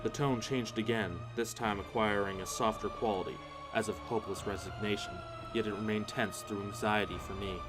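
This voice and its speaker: A man's voice: on the low side, fairly even intonation, moderate pace, few pauses. A man narrating a horror story in the first person, solemn and theatrical. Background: music.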